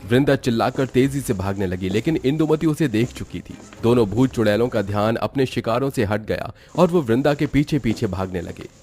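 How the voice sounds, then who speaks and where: A man's voice: medium pitch, animated, brisk, few pauses, darkish tone, faint music behind. A man giving scripted narration for a horror cartoon story.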